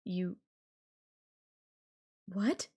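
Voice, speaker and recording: A woman's voice: on the low side, mellow, breathy edge, exaggerated voice. A woman playing an obsessive, menacing yandere girlfriend in an intimate audio roleplay, speaking to her captive lover with condescending, coaxing sweetness. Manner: sweetly condescending, coaxing, threatening, with lingering pauses.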